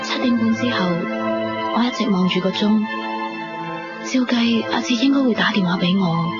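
A woman's voice: mid-range voice, natural voice. An actress playing a devoted, fragile young girlfriend with a Hong Kong accent in a radio drama. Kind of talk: radio drama acting, with narration. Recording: dull lo-fi radio drama, loud music.

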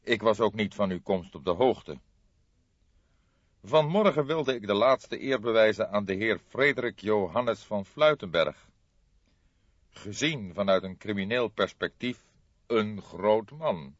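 Guy doing an old grey detective in an audiobook, polite and measured, lively intonation, muffled recording. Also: lowish voice, no echo, voice pushed up. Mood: courteous. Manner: measured, deliberate, formally polite. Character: grey-haired old male detective, courteous and shrewd. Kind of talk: audiobook character voice.

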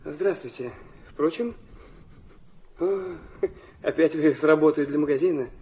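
An actor playing a proud, wounded and defiant young son in a theatrical audio drama, bitter and impulsive. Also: male voice, a little high, measured pace, dark tone, lightly acted. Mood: sarcastic, resentful. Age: young adult. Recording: faint noise and ambience behind.

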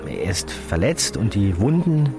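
A man's voice: lowish voice, wildly swooping pitch, a little slow, creaky, over music, heavily acted. A man playing a weary, sceptical police inspector and protective father, blunt in manner, in a radio drama. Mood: gentle, paternal. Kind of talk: German radio drama acting.

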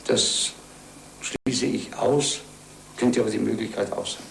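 A man giving terse, measured answers to an interviewer, sometimes repeating the question. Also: fairly low pitch, creaky. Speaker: dentist. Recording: echoey, slightly noisy film soundtrack.

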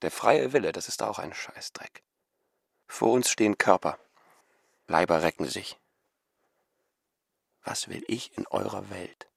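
Brooding, blunt male radio-drama figure, gravelly, dry-sounding, terse and sententious. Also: low voice, lively intonation, a little slow, pauses often, no room sound, exaggerated voice. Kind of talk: scripted experimental radio drama.

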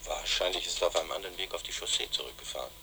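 An extremely deep-voiced man as an officious police spokesman in a radio drama. He is formal and smoothly evasive, with clear noise on the recording. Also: racing. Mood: evasive, guarded. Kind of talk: German radio play acting.

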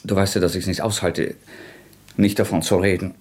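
A man's voice: deep voice, steady tone, moderate pace, a little mellow, slightly rough. An actor playing a tormented, defiant young man under suspicion in a German radio drama, tense, brooding and abrupt. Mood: anguished, confessing.

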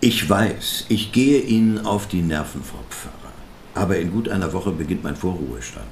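Low, lively older male investigator. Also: moderate pace, a little hiss or hum. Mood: apologetic, weary. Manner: probing, patient, sceptical questioning. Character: older male investigator near retirement, patient, persistent, sceptical. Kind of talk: naturalistic German radio drama dialogue.

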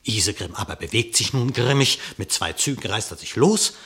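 A man's voice: lowish voice, expressive, normal speed, a bit bright. A man narrating an epic animal fable in verse for a radio play, with dramatic, vivid storytelling.

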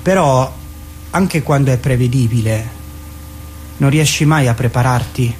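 A man's voice: medium pitch, unhurried, a little mellow, a little hiss or hum, close to an everyday voice. A man acting in a radio play as a rigid, anguished substitute teacher in a secret affair, guarded, pedantic and melancholy.

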